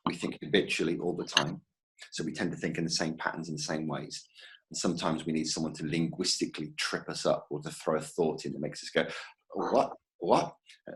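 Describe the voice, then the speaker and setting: A man talking in a webinar discussion as a hypnotist, slowing down to repeat a tricky phrase. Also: low-pitched, a bit bright, low-quality recording. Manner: plays with tricky phrasing, slows down for key lines. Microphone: video call.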